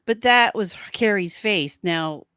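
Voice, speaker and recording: A woman's voice: medium pitch, lively intonation, slow, a crowd in the background. A woman co-hosting a live podcast in casual fan discussion. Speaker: podcast co-host.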